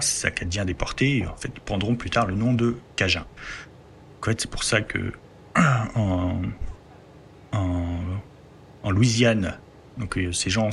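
A man with a lowish voice explains history in a relaxed podcast chat. He talks it through step by step, pausing on filler words as he builds his sentences. Faint background noise sits under his voice. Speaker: host telling history.

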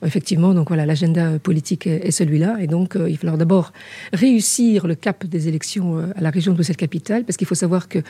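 A woman politician originally from Morocco answering interview questions in a measured, hesitant way, pausing often between phrases. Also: lowish voice, dark tone, breathy edge, no room sound. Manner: measured, hesitant, with frequent pauses. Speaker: politician guest.

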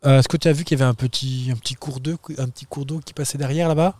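A man's voice: mid-range voice, fairly even intonation, rapid-fire, darkish tone, no echo. A man co-hosting a podcast outdoors on a town square, chatting casually in a playful, teasing way and laughing often. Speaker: podcast co-host. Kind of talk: casual chat.

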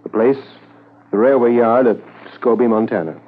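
An actor serving as a solemn, measured narrator of a 1950s police radio drama. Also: male voice, mid-range voice, fairly even intonation, close to an everyday voice. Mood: solemn, factual. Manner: formal, measured. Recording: tinny 1950s radio recording.

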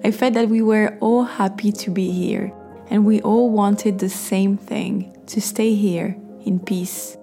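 A woman hosts a podcast in calm, reflective scripted narration. Soft music plays faintly under her mid-pitched voice. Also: takes it slowly. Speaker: podcast host.

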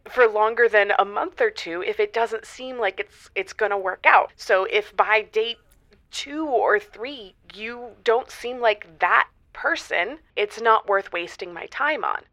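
An earnest thirties caller, bright and dry. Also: female voice, on the high side, no room sound. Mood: exasperated, picky. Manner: halting, emotional, giggly hesitations. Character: earnest, picky single woman caller in her mid-thirties. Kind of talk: semi-improvised audio comedy drama.

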